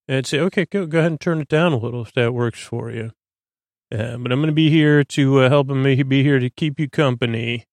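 A man hosting a bedtime podcast in a creaky, lulling voice, droning along in a halting, stammering, meandering monologue meant to help listeners sleep. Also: mid-range voice, no room sound. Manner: halting, stammering, repeats and plays with words. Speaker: podcast host.

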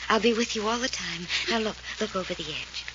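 A woman's voice: mid-range voice, brisk, natural voice. An actress playing an insistent, eerily soothing woman who coaxes and controls in an old-time radio drama. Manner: coaxing, insistent, hushed reassurance. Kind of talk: 1940s radio drama acting. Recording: muffled, very noisy old radio recording.

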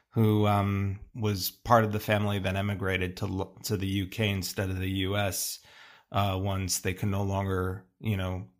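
A middle-aged American man telling personal stories in an interview in a thoughtful, halting way, often pausing mid-phrase. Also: lowish voice. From United States, suburban Long Island. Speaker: interviewed artist. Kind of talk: reflective interview storytelling.

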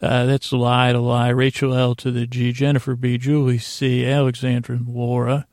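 A man hosting a soothing bedtime podcast in a lulling, meandering monologue, halting with odd pauses and repeated words. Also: medium pitch, completely flat delivery, darkish tone. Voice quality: lulling, creaky drone. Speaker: podcast host.

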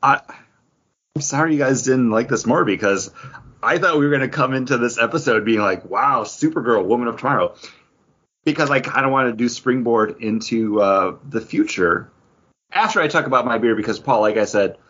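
A man's voice: mid-range voice, moderate pace, a bit bright, breathy edge, muffled recording. A man co-hosting a podcast, chatting casually about comic books in a rambling, conversational way. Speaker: podcast co-host. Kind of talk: casual comic book review chat.

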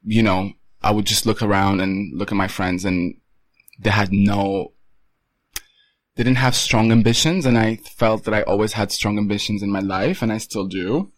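A young man from Portugal, a photographer, talking casually as an interview guest with many pauses and restarts. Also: on the low side, fairly even intonation, dry recording.

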